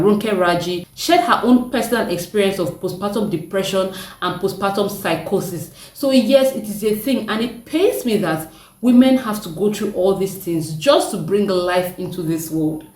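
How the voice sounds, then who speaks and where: A young woman with a deep voice, chatting casually to her viewers and laughing often. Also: darkish tone, far from the mic. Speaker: vlogger. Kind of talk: casual chat to camera. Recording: echoey room, mic set back.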